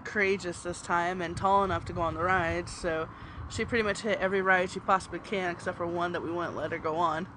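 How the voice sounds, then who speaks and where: A woman co-hosting a podcast, telling stories in a relaxed, easygoing way, her voice deeper than usual today. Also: a little fast, slight background noise, low-quality recording. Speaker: podcast co-host. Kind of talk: casual chat.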